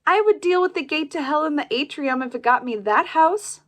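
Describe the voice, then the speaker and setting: A woman's voice: high-pitched, lively intonation, moderate pace. A woman playing a wry, easygoing member of a household in an audio play. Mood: playful.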